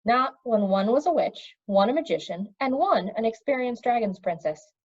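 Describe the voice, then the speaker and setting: A woman reading a fantasy story aloud in an expressive storytelling manner. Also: on the high side, normal speed, dull audio. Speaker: audiobook reader. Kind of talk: reading a children's fantasy novel aloud with character voices.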